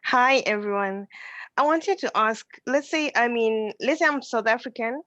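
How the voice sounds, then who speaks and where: A woman's voice: on the high side, normal speed, fairly bright tone, no room sound, dull audio. A woman student on an online class asking a question curiously, thinking aloud as she asks. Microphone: video call.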